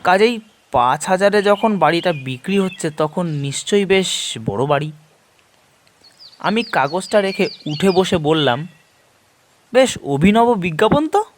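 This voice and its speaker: Male horror narrator, unhurried and animated, measured dramatic phrasing, noisy recording with chirps. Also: high voice. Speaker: narrator of an audio horror story series. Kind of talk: dramatic first-person story narration in Bengali.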